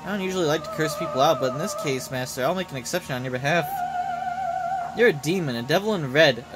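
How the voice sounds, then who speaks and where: A man voicing a loyal little ninja companion in a game, indignant and politely sharp-tongued, over music. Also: a little high, fast, close to an everyday voice. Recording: loud game music behind voice.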